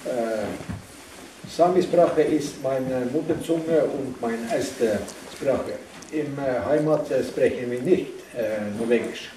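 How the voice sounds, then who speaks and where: A man interpreting for a tour group, halting and hesitant, filling pauses as he searches for words. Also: medium pitch, warm tone. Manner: hesitant, many fillers and restarts. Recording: echoey room from far off with faint noise.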